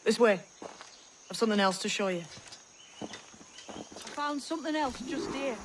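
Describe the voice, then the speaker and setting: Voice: mid-range voice, natural voice. An actor as a cryptic young pirate over insect noise. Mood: quietly intriguing. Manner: measured, cryptic, colloquial seafaring speech. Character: young, cryptic, knowing pirate companion, teasing and earnest. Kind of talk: video game character acting.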